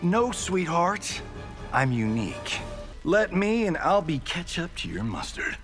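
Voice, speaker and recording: An actor playing a smug, flirtatious movie-star fighter in a video game, playful and drawling. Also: male voice, high-pitched, very animated, measured pace. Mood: cocky, flirty. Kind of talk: fighting game character dialogue. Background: game music.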